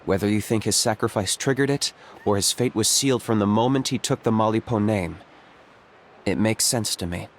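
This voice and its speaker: A man voicing a stoic young warrior in a video game, calm and deadpan, always blunt and practical. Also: fairly low pitch, moderate pace, a little hiss or hum, natural voice.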